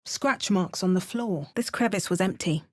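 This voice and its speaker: A woman's voice: on the low side, normal speed, lightly acted. A young woman voicing a tough, dry-witted adventurer heroine in a fantasy video game, speaking in a wry manner. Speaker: player character voice.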